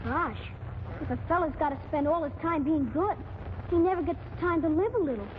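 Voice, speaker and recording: A woman playing an excitable, eager little boy in a 1940s radio comedy. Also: sits high, a little fast, lightly acted. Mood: curious, earnest. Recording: tinny noisy 1940s radio recording.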